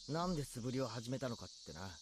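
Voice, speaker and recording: A man's voice: mid-range voice, lively intonation, rapid-fire, background noise, natural voice. An actor voicing a tense, irritable teenage boy in a game, talking curtly and defensively. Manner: curt and defensive. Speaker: game character.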